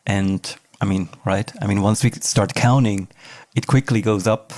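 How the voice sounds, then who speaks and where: A man's voice: fairly low pitch, steady tone, a little slow, darkish tone, no echo. A man speaking conversationally as the host of an interview podcast.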